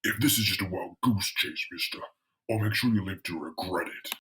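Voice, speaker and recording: A man voicing a gruff, cocky rock-monster superhero with blustering tough-guy talk in a comic-book drama. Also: low voice, normal speed, fairly bright tone, a little hiss or hum. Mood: threatening.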